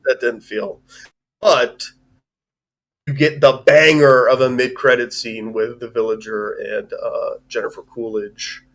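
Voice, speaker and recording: A man's voice: medium pitch, steady tone, unhurried, fairly bright tone, poor audio. A man co-hosting a livestream, casually talking through a movie review in a rambling way with frequent swearing. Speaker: stream co-host reviewing a movie.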